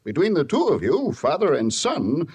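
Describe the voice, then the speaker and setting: An actor playing a stern, shrewd judge with a gravelly voice, admonishing in measured old-time radio drama style. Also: male voice, a little high, wildly swooping pitch, a little mellow, exaggerated voice. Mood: stern, firm.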